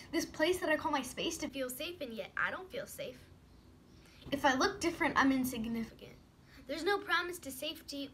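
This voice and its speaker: A woman's voice: sits high, a bit bright. A woman reciting rhymed slam poetry with emphatic, punchy, rhythmic delivery on a noisy amateur recording. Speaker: poet.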